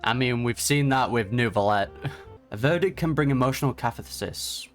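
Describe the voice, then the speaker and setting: A woman's voice: very deep voice, lively intonation, normal speed, soft background music. A woman hosting a reaction video, giving casual, informal, slangy commentary on a game.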